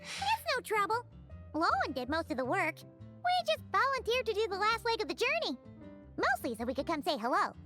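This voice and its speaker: Tiny perky fairy sidekick: squeaky, sky-high pitch, very animated, game music behind. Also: female voice, moderate pace, exaggerated voice. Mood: cheerful. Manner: perky, inquisitive. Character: tiny, childlike, curious, excitable female fairy companion. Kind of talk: scripted game dialogue.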